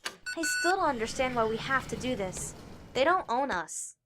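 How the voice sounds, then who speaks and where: A woman's voice: on the high side, sing-song, moderate pace, noisy background. A woman playing a stubborn, sulky young daughter in an audio drama, petulant and questioning. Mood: sulky, defiant.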